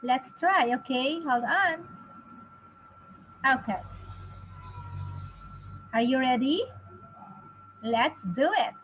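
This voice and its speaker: A woman in her thirties teaching a children's phonics lesson, encouraging, with exaggerated, deliberate enunciation for young learners. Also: high-pitched, animated, a bit bright, a little hiss or hum, low-quality recording. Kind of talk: children's phonics lesson, sounding out letters and words.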